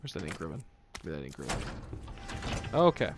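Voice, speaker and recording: A man's voice: low-pitched, sing-song, normal speed, a little hiss or hum. A man doing casual let's play commentary on a video game, swearing and tossing out jokey asides to himself.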